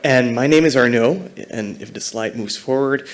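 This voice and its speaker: A man's voice: mid-range voice, moderate pace. A man from Belgium giving a conference talk as a senior technology architect, explaining fluently.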